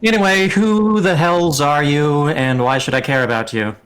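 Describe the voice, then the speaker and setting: A man's voice: on the high side, normal speed, fairly bright tone, natural voice. A man voicing a smug, sardonic tabletop villain, condescending and theatrical as he lays out his schemes. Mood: smug disdain.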